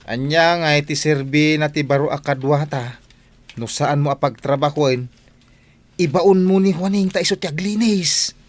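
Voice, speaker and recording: A man's voice: a little high, moderate pace, dry recording, natural voice. A man with an Ilocano accent playing a lazy, excuse-making older village cleaner in a comedy radio drama. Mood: grumbling.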